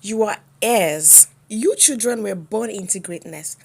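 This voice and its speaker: A woman's voice: medium pitch, a little slow, gravelly, slightly rough. A woman voicing a proud, doting rich mother in a told story, coaxing and grand.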